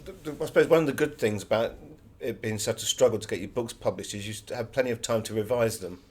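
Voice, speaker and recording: A man's voice: fairly low pitch, fast, husky. A man. He speaks as an author being interviewed, answering conversationally and telling anecdotes as he goes.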